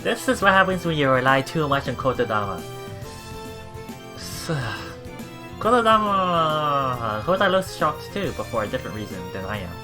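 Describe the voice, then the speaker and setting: A man hosting a let's play, reading game text aloud and adding casual, halting commentary with repeated words and exclamations. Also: mid-range voice, sing-song. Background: game music.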